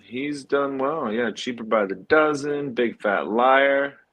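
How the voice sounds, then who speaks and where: Halting man hosting a casual film chat. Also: mid-range voice, a bit bright, muffled recording. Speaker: film podcast host.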